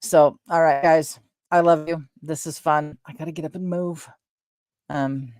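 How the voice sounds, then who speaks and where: A middle-aged woman with a soft voice, chatting casually and at length as host of her own stream, wandering between thoughts with fillers and self-corrections. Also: deep voice, steady tone, measured pace, clear, resonant tone, dry recording, studio quality. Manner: meandering, trails off, frequent fillers and self-corrections. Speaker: livestream host. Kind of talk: rambling casual chat.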